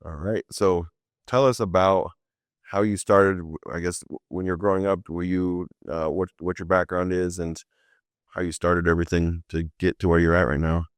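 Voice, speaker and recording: Podcast host: man with a very deep, monotone voice, casual, rambling questions, dry close sound. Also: moderate pace, clear, resonant tone, no echo. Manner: rambling questions with fillers and restarts.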